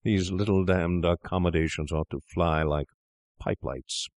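A tipsy young doctor flatters his companion in a hearty, coaxing way. The voice stays low and close, a figure in a read-aloud story, as a man acts him out. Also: measured pace, no room sound.

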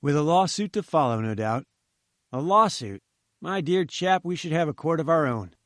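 A man voicing a breezy, chummy male journalist who speaks briskly. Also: a little high, lively intonation, dry recording, studio quality, close to an everyday voice.

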